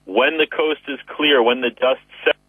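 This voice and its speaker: A man's voice: medium pitch, steady tone, normal speed, breathy edge, no room sound. A man giving market commentary as a guest analyst over the telephone. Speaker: wealth manager, guest analyst. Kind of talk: market commentary in a phone interview. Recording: narrow tinny phone line.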